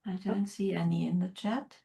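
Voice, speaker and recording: A woman moderating a webinar over a video call, brief and soft-spoken. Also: on the low side, steady tone, normal speed, vocal fry.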